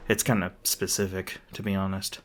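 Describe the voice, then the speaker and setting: A man performs a gruff, defensive monster hunter in an intimate ASMR roleplay drama. His voice sits fairly low. He talks casually and swears, hesitating awkwardly as his secretly caring side shows through. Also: little pitch movement, moderate pace, lightly acted. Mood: awkward small talk.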